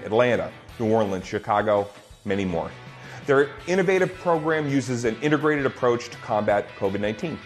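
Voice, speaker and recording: A man hosting an online charity table read, making a casual fundraising appeal. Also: mid-range voice. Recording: faint music behind.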